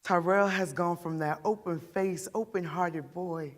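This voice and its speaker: A woman playing an embittered, wounded mother in a dramatic stage monologue, halting and defensive, throwing out pointed questions. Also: fairly low pitch, fairly even intonation. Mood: tense, subdued. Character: embittered, wounded mother deciding her attacker's punishment.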